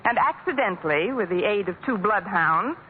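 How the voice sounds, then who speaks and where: An actress playing a sharp-tongued schoolteacher with dry wisecracks, in 1940s radio sitcom acting. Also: female voice, mid-range voice, lively intonation, normal speed, close to an everyday voice. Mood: wry. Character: sharp-tongued woman schoolteacher, wry and lovestruck. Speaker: schoolteacher narrator and lead. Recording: tinny, hissy old radio broadcast.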